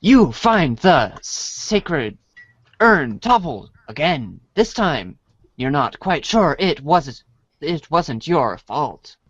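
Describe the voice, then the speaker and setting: A man narrating a read-along storybook in a deliberate, theatrical way. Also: on the high side, very animated, husky, no room sound. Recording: dry, dull lo-fi audio.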